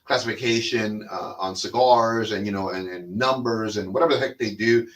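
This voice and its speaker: A middle-aged Cuban-born man with a fairly low voice talks about cigars in an easygoing, rambling way. He speaks Spanish as his first language and fills his talk with "uh" and "you know" while he puffs on a cigar. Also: moderate pace. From Cuba. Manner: rambling and chatty, with many fillers and restarts; smoking a cigar while talking. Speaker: cigar podcast host. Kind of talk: casual monologue.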